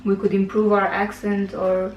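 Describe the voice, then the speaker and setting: A woman's voice: mid-range voice, monotone, normal speed, dull audio. A young woman from Romania with a Romanian accent, hosting a casual interview and often nodding along with mm-hmms. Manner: friendly, frequent mm-hmms. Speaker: host, YouTuber. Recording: echoey room, faint background noise.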